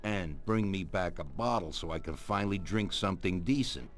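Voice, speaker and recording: Older crime boss, composed and authoritative, lowish voice, game cutscene with music behind. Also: male voice, animated. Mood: businesslike. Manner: measured, authoritative. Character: composed, commanding older male mafia don. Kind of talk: video game cutscene acting.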